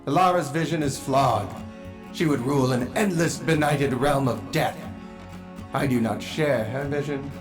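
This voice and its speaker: A woman's voice: very deep voice, unhurried, over music. A performer voicing an honorable, weary male vampire knight with a restrained, formal manner in a story reading. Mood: calm, grave.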